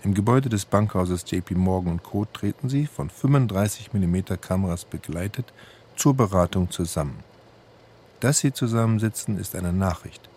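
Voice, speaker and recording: A man's voice: sits low, normal speed, a little mellow, slightly rough. A man reading scripted literary narration in a factual, matter-of-fact manner. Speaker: reader.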